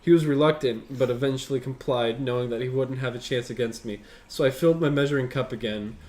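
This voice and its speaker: A man's voice: medium pitch, darkish tone. A man reading a story aloud as a show host, breaking off for casual, sweary commentary.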